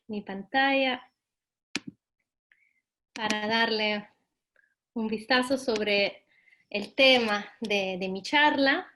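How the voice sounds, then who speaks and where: A woman's voice: on the high side, fairly bright tone. Italian woman presenting in a webinar. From Italy. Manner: hesitant, many fillers, apologetic. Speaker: guest speaker. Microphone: video call.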